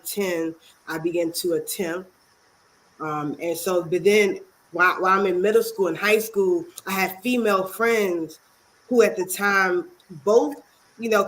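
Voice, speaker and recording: A congested woman from Maryland, speaking as a guest author and youth advocate in an interview, earnest and halting with many pauses. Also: fairly low pitch, fairly bright tone, low-quality recording. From Maryland, USA. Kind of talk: interview talk.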